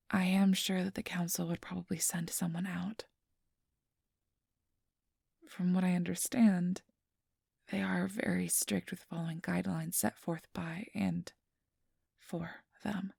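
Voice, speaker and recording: A woman playing a kindly, apologetic registration clerk in an ASMR roleplay, speaking softly and often in a whisper with a gentle, reassuring manner. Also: fairly low pitch, no room sound, clean studio sound, full character voice. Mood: gentle, careful. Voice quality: soft, breathy, often whispered. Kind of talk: ASMR roleplay, soft whispery speech to the listener.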